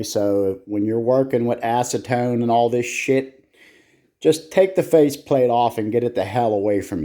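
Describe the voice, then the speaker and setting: A man's voice: lowish voice, dark tone. A man giving casual, hands-on repair commentary in a blunt, salty way, swearing freely as he goes. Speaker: repair video host.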